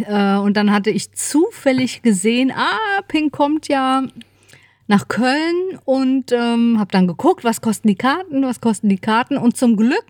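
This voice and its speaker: A woman's voice: on the high side, dry recording, clean studio sound. A woman in her fifties chatting casually and informally as a podcast co-host. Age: 50s.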